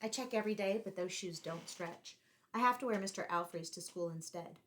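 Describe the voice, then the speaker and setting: A woman reading a picture book aloud to children in an expressive, deliberately phrased storytelling style, like a teacher. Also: fairly low pitch, background noise.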